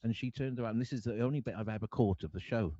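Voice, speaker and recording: A man from Cardiff with a Welsh accent, telling his life story to an interviewer in an earnest, halting way, often restarting his sentences. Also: mid-range voice, warm tone, dry recording. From Wales. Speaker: guest artist. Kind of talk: candid personal storytelling. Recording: dull lo-fi dry sound.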